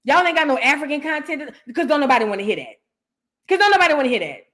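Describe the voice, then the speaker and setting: A woman hosting a casual livestream, chatty and animated, reading out viewer messages and giving her opinion. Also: sits high, fast talker. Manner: chatty, animated, reads out viewer super chats. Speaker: livestream host. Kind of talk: casual livestream reaction chat.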